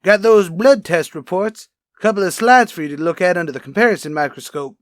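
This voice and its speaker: A man playing a wry, chatty police lab technician in a radio crime drama, brisk and casual. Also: high-pitched, no room sound, clean studio sound, natural voice. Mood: matter-of-fact. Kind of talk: old-time radio crime drama acting.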